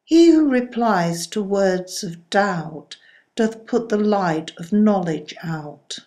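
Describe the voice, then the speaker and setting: A woman reciting poetry in a solemn style, with measured, deliberate phrasing. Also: mid-range voice, expressive, mellow. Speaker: poetry reader.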